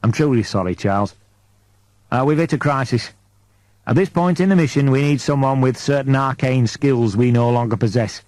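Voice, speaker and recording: A man voicing a solemn, gently grave priest who speaks in a measured, formal, apologetic way in a science fiction audiobook. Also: mid-range voice, no room sound, close to an everyday voice, rough recording. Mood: regretful.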